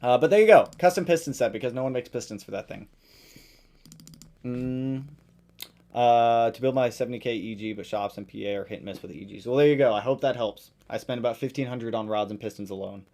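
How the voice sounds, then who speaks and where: A man hosting a casual live stream, reading out viewer questions and answering them in a chatty, relaxed way, his voice tiring after a long session. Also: a little fast. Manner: greets viewers loudly with sudden jumps in pitch.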